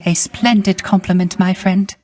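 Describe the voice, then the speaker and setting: A man playing a gentle, melancholy dead musician in a video game, with a soft, light voice and wistful, courtly, hesitant phrasing. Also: high voice, fairly even intonation, moderate pace, close to an everyday voice. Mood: gracious, pleased. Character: gentle, melancholy dead male musician mourning lost love. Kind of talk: theatrical video game character acting.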